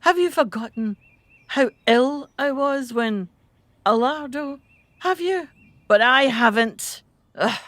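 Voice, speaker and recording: A woman's voice: high-pitched, lively intonation, slow, a bit bright, no echo, full character voice. A woman playing an imperious, bitter wife and mother in a radio drama, with a haughty, scornful delivery. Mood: indignant, haughty.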